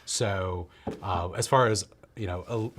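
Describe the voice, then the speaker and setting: Lowish voiced guy co-hosting, teasing and jokey banter, bit of background noise and clicks. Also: slow pace, fairly bright tone. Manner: joking, teasing, self-deprecating. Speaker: co-host. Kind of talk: casual banter. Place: live show set.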